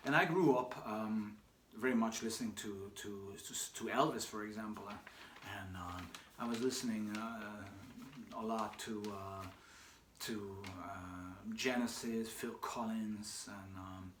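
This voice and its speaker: A man who grew up speaking Hungarian and German, talking casually about himself, with many pauses, fillers and restarts. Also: lowish voice, fairly bright tone. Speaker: shop owner answering questions. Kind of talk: casual personal monologue. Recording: echoey room with faint background noise.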